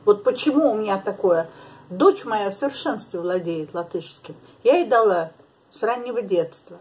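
A woman's voice: mid-range voice, expressive, moderate pace, darkish tone, slightly rough, rough recording. An older woman from Latvia, interviewed in a small living room and speaking frankly, with laughing asides, to a camera across the table. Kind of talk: casual interview.

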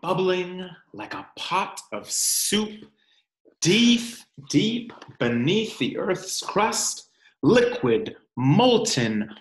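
A man in his thirties reads a picture book aloud to children at an unhurried pace. His pitch moves in lively swings as he leans on key words and explains as he goes. The sound is roomy, recorded indoors at home. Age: 30s. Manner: deliberate, explanatory, dramatic emphasis on key words. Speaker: read-aloud presenter. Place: small room at home, indoors.

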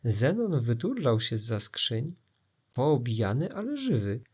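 A man narrating an adventure story for children expressively, with suspense and dramatic pauses. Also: medium pitch, wildly swooping pitch, darkish tone, husky.

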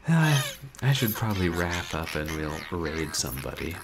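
Deep-voiced man chatting on a livestream. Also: normal speed, background noise. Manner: animated, wry, rambling. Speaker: game streamer. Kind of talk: casual livestream chat. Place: small room at home, indoors.

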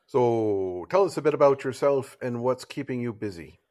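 A man hosting a podcast, relaxed and conversational. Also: medium pitch, normal speed. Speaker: podcast host. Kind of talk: interview hosting.

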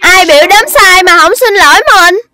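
A young cartoon rabbit girl, stubborn and quick to take offence. The voice is extremely high, with a southern Vietnamese accent and an insistent, huffy delivery. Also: pushed and bright, no room sound, faint music behind. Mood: sulky. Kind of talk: children's cartoon dubbing.

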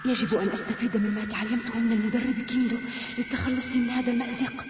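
A woman's voice: fairly high pitch, steady tone, fast, roomy sound, big-room sound, music in the background, lightly acted. A woman voicing a brave young boy kung fu student in a cartoon dub, earnest and emotional. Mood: calm focus. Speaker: hero.

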